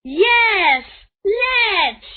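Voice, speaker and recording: An excitable little schoolgirl voiced by a woman exclaims eagerly in a storybook tale. The pitch is very high and lively, and the sound is thin and phone-like. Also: extremely slow, exaggerated voice. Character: excitable, cheerful little schoolgirl and her classmates. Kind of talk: children's story dialogue.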